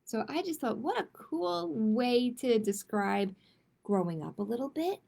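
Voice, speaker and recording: Woman, higher pitch, slow unhurried pace, creaky edges, children's storytime host, expressive and chatty. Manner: expressive, chatty, addresses young listeners directly. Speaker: library storytime host reading picture books. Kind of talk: children's read-aloud with casual chat.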